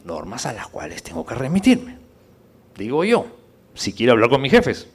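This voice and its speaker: A man lecturing in public, didactic and rhetorical, with deliberate pauses, emphasis and questions to check that listeners follow. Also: medium pitch, huge pitch swings, breathy edge. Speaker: conference speaker.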